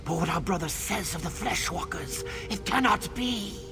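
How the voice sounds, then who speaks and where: A man voicing a grave, troubled tree spirit in a video game, solemn and drawn out, sinking into breathy whispers. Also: high-pitched, animated, normal speed, over music. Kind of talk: video game character acting.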